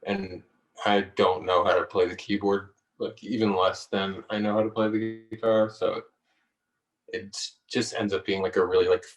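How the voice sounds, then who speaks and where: A low-voiced man chats hesitantly about songwriting, laughing easily between his thoughts. The sound comes over a video call. It is roomy and a little distant from the mic. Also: little pitch movement, moderate pace. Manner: hesitant, laid-back, laughs often. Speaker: singer-songwriter guest. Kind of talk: relaxed conversational interview.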